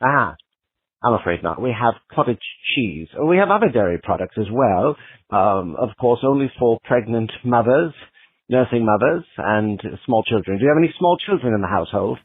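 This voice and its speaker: An actor playing an earnest, easily baffled 1940s general store keeper, in folksy, hesitant, polite old radio comedy style. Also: male voice, medium pitch, expressive, normal speed, breathy edge, no room sound. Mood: helpful, puzzled. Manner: hesitant, folksy, formally polite shop talk. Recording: muffled tinny old telephone line.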